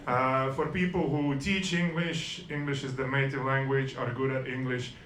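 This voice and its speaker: A man's voice: medium pitch, flat delivery, normal speed, fairly bright tone, reverberant, slight background noise, poor audio. Dry, self-deprecating man in his forties. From Estonia. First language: Russian and Estonian. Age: 40s. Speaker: stand-up comedian. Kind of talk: stand-up comedy set.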